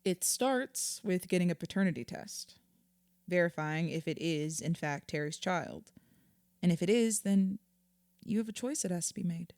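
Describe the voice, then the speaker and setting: A woman acting a patient, earnest therapist in an audio drama, measured and reassuring as she explains. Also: on the low side, animated, a little mellow, no echo, clean studio sound. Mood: firm, encouraging. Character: patient, earnest female therapist counselling a client.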